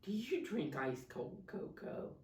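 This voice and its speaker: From a distance in a roomy, echoing space, a woman reads a storybook to small children. Her voice is low but very animated in its rise and fall. She speaks in a sing-song, child-directed way, pointing things out and asking simple questions. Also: a little slow, a little hiss or hum. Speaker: preschool teacher reading a storybook to children. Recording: roomy echo, distant microphone.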